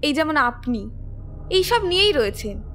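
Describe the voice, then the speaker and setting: A cold, bitter young murderess, played by a woman speaks in a very high, thin voice with defiant calm. Faint noise hangs behind her in this audio crime drama. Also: normal speed. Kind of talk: Bengali audio drama acting.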